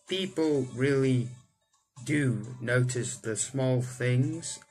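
A man's voice: medium pitch, fairly bright tone, husky. A young man hosting a visual novel let's play, reading text aloud and adding exclamatory, theatrical asides. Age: young adult. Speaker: gaming video host. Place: indoor room. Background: game music.

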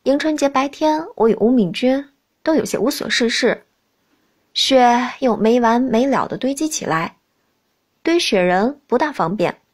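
A woman narrating a novel in the first person as an expressive audiobook reader. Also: on the high side, measured pace.

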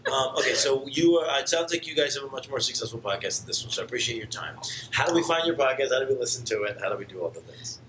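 A man in his thirties hosts a casual podcast chat, talking quickly in a jokey, rambling way. He holds a handheld mic in an echoey room, with faint ambience behind him. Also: mid-range voice, expressive, noisy background. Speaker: podcast host. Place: indoor convention lounge on a couch.

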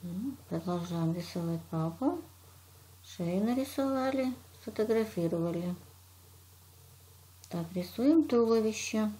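A woman's voice: mid-range voice, lively intonation, a little slow, pauses often, warm tone. A woman teacher from Russia walks a child through a drawing lesson step by step, patiently praising and narrating each action.

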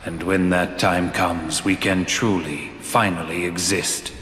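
A man's voice: low voice, level tone, slow pace, a bit bright, slight background noise. An actor, a man, playing a solemn, commanding villain leader in a video game, grave and portentous, in an echoing hall. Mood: brooding. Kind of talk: video game cutscene acting. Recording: echoey hall with long reverb.

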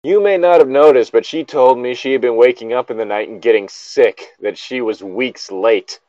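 A man with a mid-pitched voice reads and comments casually, as if among friends. He sounds exasperated and blunt, and swears freely. The sound is very dry and a little muffled. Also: moderate pace, darkish tone, dry recording. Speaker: co-host reading a story aloud with running commentary. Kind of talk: casual riffing read-aloud.

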